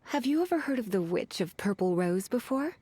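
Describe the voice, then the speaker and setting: A woman playing a teasing, composed librarian witch in a video game, with a coy, flirtatious and measured manner. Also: medium pitch, big pitch swings, dark tone, husky. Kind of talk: animated video game voice acting. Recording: music behind the voice.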